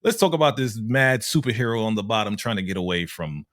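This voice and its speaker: Laid-back man with a lively voice. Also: quick pace, dry recording. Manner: laid-back, laughs often, drops to a low mumble. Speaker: show host. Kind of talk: casual TV recap chat.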